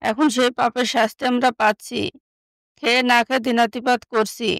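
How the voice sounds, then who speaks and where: A woman's voice: fairly high pitch, flat delivery, moderate pace, fairly bright tone, dry recording. A woman playing a contrite young stepsister, once scheming, in a moral story. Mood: confessing, regretful. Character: scheming young stepsister, now poor and remorseful.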